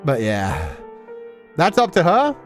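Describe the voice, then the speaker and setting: A man's voice: fairly high pitch, wildly swooping pitch, slow, soft background music. A man talking casually and emphatically as a streamer, rambling through commentary with slangy asides. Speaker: streamer reacting to a story game. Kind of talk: casual rambling commentary, reading and answering chat.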